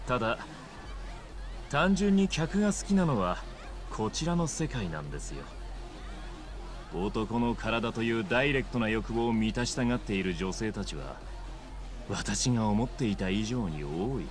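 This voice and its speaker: An actor playing a smug, suave young male gangster boss in a video game cutscene, with smooth, polite, theatrical speech. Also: fairly low pitch, expressive, unhurried, pauses often. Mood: smug, persuasive. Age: young adult.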